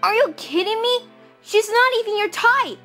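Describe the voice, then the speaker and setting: A high, boyish voice for an earnest teenage fox in a cartoon audio drama, performed by a woman. The delivery is emotional and touchy, with music underneath. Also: moderate pace. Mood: angry. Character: fifteen-year-old boy cartoon fox, earnest and touchy. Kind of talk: fan audio drama acting.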